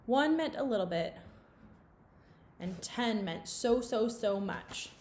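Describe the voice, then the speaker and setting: A woman's voice: mid-range voice, a little mellow. Woman reading aloud over background noise. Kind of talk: expressive read-aloud of a children's novel.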